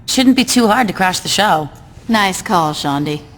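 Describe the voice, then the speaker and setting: A woman's voice: medium pitch, expressive, measured pace, heavy background noise. A woman playing a tough, sarcastic, ruthless street gang boss in a video game cutscene, cocky and commanding. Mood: determined, wry. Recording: loud music under the voice.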